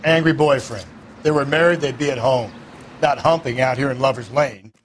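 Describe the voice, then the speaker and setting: A man's voice: mid-range voice, a little fast, a little hiss or hum, close to an everyday voice. A man with an American accent playing a cynical, crude middle-aged police detective in a video game, brash and sardonic in his delivery. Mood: dismissive. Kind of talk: video game acting, 1940s detective drama.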